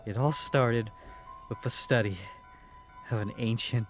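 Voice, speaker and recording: A man voicing a storyteller who recalls a strange creature with halting, stammering speech. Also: mid-range voice, steady tone, over music, close to an everyday voice.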